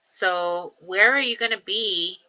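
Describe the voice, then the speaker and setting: A woman in her forties hosting a casual livestream, speaking in an earnest, rambling way with many ums and restarts, steady through her grief. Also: mid-range voice, takes it slowly, poor audio. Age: 40s. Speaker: livestream host. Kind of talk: casual reflective livestream talk.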